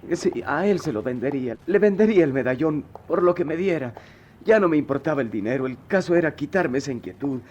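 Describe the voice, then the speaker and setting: A man's voice: a little high, a little mellow, a little hiss or hum, lightly acted. An actor playing a troubled, proud, streetwise poor boy in a radio drama, with a Mexican accent and slangy, impassioned delivery. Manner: slangy, impassioned, halting when cornered.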